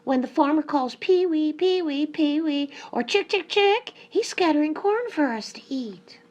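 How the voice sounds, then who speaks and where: Woman doing a high, earnest farmyard hen. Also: animated, unhurried, darkish tone, dull audio. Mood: chiding. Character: sensible female farmyard hen, chiding, easily cowed. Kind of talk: storybook character voice.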